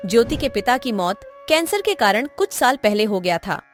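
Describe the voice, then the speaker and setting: A woman narrates a scripted moral tale in a high voice. Light music plays faintly beneath her narration. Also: sing-song, moderate pace.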